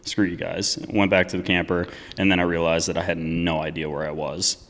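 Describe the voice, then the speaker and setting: A man in his thirties from the US East Coast, a guest telling racing stories in relaxed, casual talk that trails off and swears freely. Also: low-pitched, fairly quick, long reverb tail. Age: 30s. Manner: laid-back, swears casually, trails off often. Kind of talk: casual storytelling chat.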